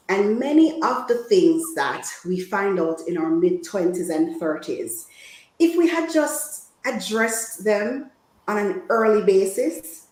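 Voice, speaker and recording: A woman's voice: mid-range voice, darkish tone. A woman from Jamaica giving an informal, preacher-like health talk, engaging her listeners with questions. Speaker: guest women's health speaker. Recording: echoey room sound.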